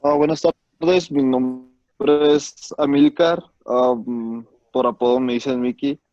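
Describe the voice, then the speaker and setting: A man's voice: mid-range voice, fairly even intonation, slow pace, dark tone, dry recording, dull audio. A man giving a brief, casual self-introduction as a class participant.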